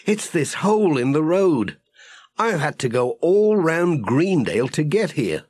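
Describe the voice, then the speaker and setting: Man voicing an easygoing, husky village postman. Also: fairly high pitch, sing-song, measured pace, a little mellow, no room sound, pitched above the performer's own voice, heavily acted. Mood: reassuring. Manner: easygoing, good-humoured, homely. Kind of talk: children's audiobook character reading.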